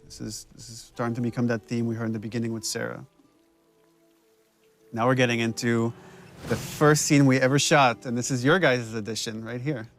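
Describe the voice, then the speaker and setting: Thoughtful man, medium pitch. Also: level tone, a little fast, soft background music. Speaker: game writer and director commenting. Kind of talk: casual audio commentary.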